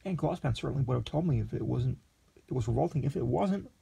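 A man reading aloud and voicing a naive, excitable young man who gushes over his food in breathless exclamations, pitched well above his own voice. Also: fast talker, creaky, slightly rough, exaggerated voice. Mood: bewildered.

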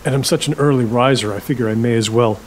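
An actor playing a stubborn, halting older man, a reclusive rental host, in an audio drama. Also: mid-range voice, a little hiss or hum, natural voice, rough recording. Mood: fussy, stubborn. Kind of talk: naturalistic audio drama acting.

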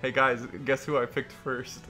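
A man's voice: medium pitch, little pitch movement, moderate pace, pushed and bright. A young man in casual, teasing banter with friends as he plays a competitive game, often laughing. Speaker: player in a group gaming video. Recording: game music behind the voice. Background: video game battle music.

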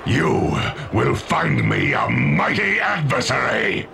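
A colossal werewolf tyrant from a video game. The voice is gravelly and shouted, with huge swings of pitch. Every word is stretched out with pompous, imperious contempt. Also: male voice, huge pitch swings, a little hiss or hum, exaggerated voice. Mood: imperious, roaring. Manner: grandiose, theatrical, drawn-out delivery. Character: huge arrogant male werewolf fiend lord, bored and imperious. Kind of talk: video game villain acting.

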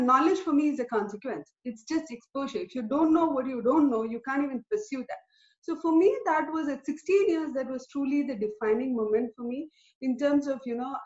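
Reflective woman from India on a video call. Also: high voice. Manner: reflective, uses many "you know" fillers. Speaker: guest, company vice president. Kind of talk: casual interview answers. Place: home room, video call. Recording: roomy, dull lo-fi video call audio.

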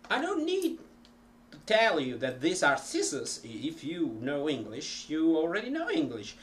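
Middle-aged man with an Italian accent, unhurried, halting delivery, home webcam sound with a faint hum behind. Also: fairly high pitch, huge pitch swings. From Italy. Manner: slow, rambling, many ums and restarts. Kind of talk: casual talk to camera. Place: cluttered home music room.

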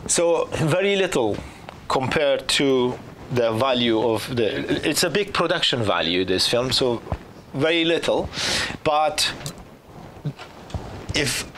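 A man's voice: mid-range voice, slow pace, pauses often, slight background noise. A man in his fifties, a filmmaker guest, answering students' questions and explaining at length with many restarts. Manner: animated, gesturing, explaining with restarts. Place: small studio set with sofa. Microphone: lapel.

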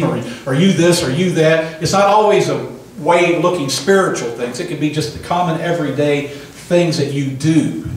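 A man's voice: a little high, a little hiss or hum. Older man preaching in an echoey hall. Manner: emphatic preaching with call-and-response amens. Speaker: pastor. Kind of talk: sermon. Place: small church hall, indoors. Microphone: handheld microphone. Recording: echoey room from a distant camera.

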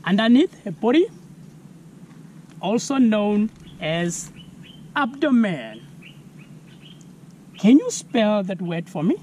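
A South African man in his thirties teaches children slowly and clearly, his pitch rising and falling in a lively way. He pauses to ask listeners to spell new words. He is recorded outdoors on a camera mic, with faint background noise. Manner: slow, clear, asks viewers to spell words. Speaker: environmental educator. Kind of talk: children's science lesson. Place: outdoors on a lawn.

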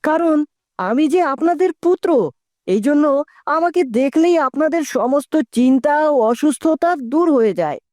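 Woman voicing a high-voiced, dutiful young prince. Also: animated, moderate pace, dark tone, no room sound, studio quality. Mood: devoted. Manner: formal, courtly, earnest. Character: dutiful young prince, devoted and self-assured. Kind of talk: Bengali fairy-tale cartoon dubbing.

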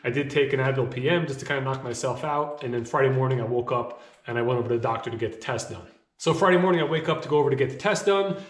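A man's voice: medium pitch, racing. Man chatting to camera in an echoey room. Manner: conversational, rambling with fillers and restarts. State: slightly hoarse with a cough. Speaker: vlogger. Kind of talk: casual talk to camera. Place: small home office, indoors.

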